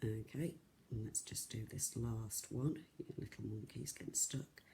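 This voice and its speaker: Craft host, croaky and soft, chatty live demo with frequent fillers and restarts. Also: medium pitch, unhurried, a little hiss or hum. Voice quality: soft, not loud. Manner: chatty, rambling, lots of ums and restarts. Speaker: craft shop tutor. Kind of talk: live-streamed beading tutorial.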